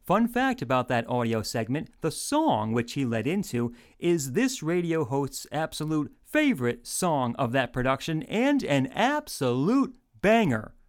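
A man hosts in old-time radio game show style. His slightly high voice moves up and down a lot as he presents to the listeners like a showman. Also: big pitch swings, measured pace, hardly any pauses. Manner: showman-like announcing, addresses listeners directly.

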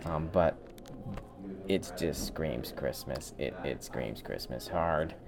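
A man co-hosting a podcast in casual conversation, halting and repeating words as he thinks aloud. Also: extremely low pitch, vocal fry, a crowd in the background. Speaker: podcast co-host. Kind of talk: casual chat ranking favorite cartoon specials.

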